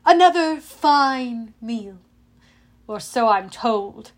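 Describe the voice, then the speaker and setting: A woman's voice: a little high, expressive, drawn-out words. A woman voicing a bitter, sneering old man, a cursed spirit in authority, in a storybook reading. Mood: pompous. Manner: sneering, muttering, menacing.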